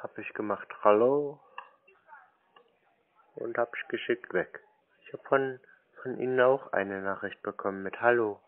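A man on a phone call, answering tersely in a deadpan, obtuse way. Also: medium pitch, a little slow, pauses often. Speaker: scam-baiter playing a customer on the phone. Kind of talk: phone conversation. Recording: tinny, narrow-band phone line.

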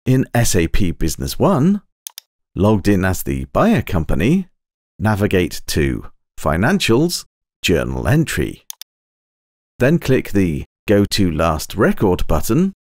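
A man reading scripted instructional narration for a software tutorial, in a measured, precise, step-by-step manner. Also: sits low, huge pitch swings, no echo, clean studio sound. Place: close, dry voice-over recording.

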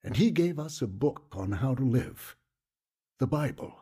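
An actor playing a patient male pastor in an audio drama, earnest and gently instructive with a husky voice. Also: medium pitch, expressive, unhurried. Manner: earnest, gently instructive, quotes scripture solemnly. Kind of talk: audio drama dialogue, preaching.

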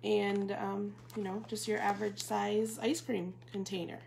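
A woman's voice: medium pitch, little pitch movement, unhurried, gravelly, darkish tone. A woman in her thirties casually showing off a tote bag live from her living room, talking chattily with lots of ums. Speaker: bag consultant demonstrating products. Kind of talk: live product demo. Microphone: phone or webcam at table distance. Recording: noisy Facebook Live stream.

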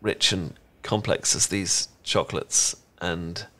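A man's voice: sits low, fairly even intonation, takes it slowly, pushed and bright, heavily acted. A man with a British accent playing an adorkable, shy young chocolatier in an intimate ASMR romance roleplay, stammering and rambling awkwardly. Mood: tender, nervous. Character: adorkable, bashful young male chocolatier confessing a crush.

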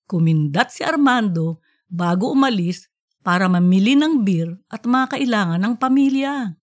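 A woman narrating an audiobook in an expressive storytelling style. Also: medium pitch, unhurried, dry recording, clean studio sound.

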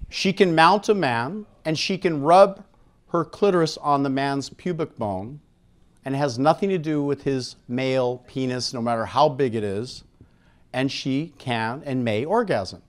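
A middle-aged American man lecturing to a live audience through a handheld microphone in a frank, emphatic way, pausing for effect and putting questions to the room. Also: a little high. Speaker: speaker at an expo seminar.

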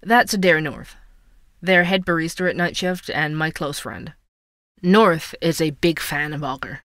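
A man's voice: fairly high pitch, unhurried, bright, forceful tone, no room sound. A man playing a wry, suspicious young coffee-shop worker who narrates an investigative fiction podcast in a conversational, sardonic way. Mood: casual. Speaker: podcast host.

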